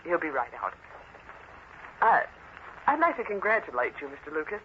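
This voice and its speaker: Brisk secretary on muffled vintage radio. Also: female voice, deep voice, very animated, a little hiss or hum. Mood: polite, pleasant. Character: brisk, sharp-tongued young woman newspaper secretary. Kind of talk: old-time radio acting.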